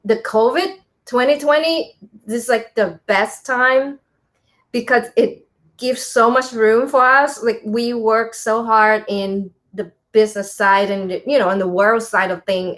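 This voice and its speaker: Earnest woman, English. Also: medium pitch. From Thailand. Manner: earnest, animated, frequent fillers. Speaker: co-guest, spiritual teacher. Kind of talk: casual interview talk.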